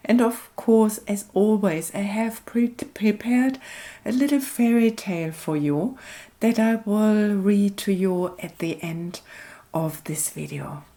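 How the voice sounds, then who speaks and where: A woman gently narrating a craft tutorial as host, unhurried and reflective, often trailing off toward a near-whisper. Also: on the high side. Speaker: host of an art collage channel.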